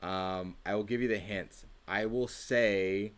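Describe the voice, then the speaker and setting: A young man with a fairly low voice hosts a casual quiz. He talks in a laid-back, slangy way and teases his co-host. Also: fairly even intonation, slow. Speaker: co-host of a church youth show. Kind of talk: casual chat, quiz hosting.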